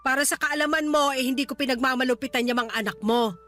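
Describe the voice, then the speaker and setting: A woman's voice: high voice, steady tone, fast talker, a bit bright, soft background music. A woman playing a stern, short-tempered Filipino grandmother who scolds and dismisses her grown daughter in a radio drama. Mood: defensive.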